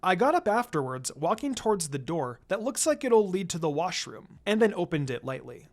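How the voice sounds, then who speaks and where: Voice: medium pitch, big pitch swings, moderate pace, a little mellow. A reader narrating a first-person story in a dramatised way. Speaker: fan fiction reader.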